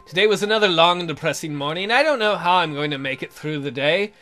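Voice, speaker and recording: A man's voice: a little high, fast, pushed and bright, soft background music. A man voicing a lovesick, miserable young woman who narrates her romance melodramatically in a visual novel. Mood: pining, lovesick. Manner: melodramatic, pining.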